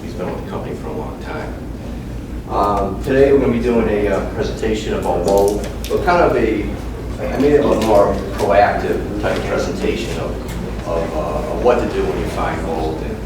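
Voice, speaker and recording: A man's voice: low voice, moderate pace. A man with a Boston accent giving a casual office presentation and reading his slides with many fillers. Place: office meeting room. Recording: echoey room from far off with noise.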